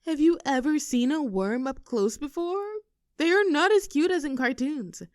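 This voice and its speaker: A woman's voice: high voice, animated, normal speed, a little mellow, no room sound, studio quality. A woman playing an affectionate, teasing young girlfriend in an intimate roleplay audio, coaxing and giggly in a conversational way. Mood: playful, mock insistent. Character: playful, clingy, affectionate young girlfriend teasing her partner.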